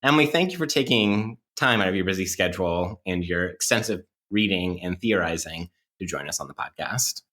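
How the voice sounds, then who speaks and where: Animated, bright-voiced man chatting on a podcast. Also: sits low, brisk, hardly any pauses. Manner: sweary, informal, peppered with "like" and "right?". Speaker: podcast host. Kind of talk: casual intellectual podcast chat.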